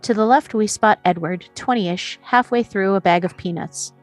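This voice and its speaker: A woman's voice: mid-range voice, normal speed, soft background music. A woman reading stage directions as the narrator of a screenplay.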